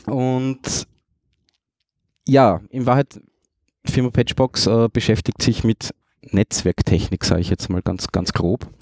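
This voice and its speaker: A man's voice: mid-range voice, takes it slowly, darkish tone, dry recording, clean studio sound. A man hosting a podcast interview, asking questions in a loose, hesitant way with many fillers. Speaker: podcast host.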